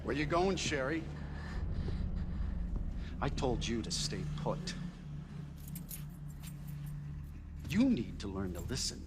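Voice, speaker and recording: Cruel middle-aged male game villain: slow, menacing bully with big pitch swings. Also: very animated, background noise. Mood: cold menace. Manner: foul-mouthed, threatening, bullying. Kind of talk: dramatic video game acting.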